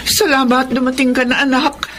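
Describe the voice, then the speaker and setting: A woman playing a weary, long-suffering mother in a Filipino radio drama, speaking with gentle, plaintive feeling. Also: sits high, little pitch movement, moderate pace, lightly acted. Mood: troubled, pleading. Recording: clear background noise.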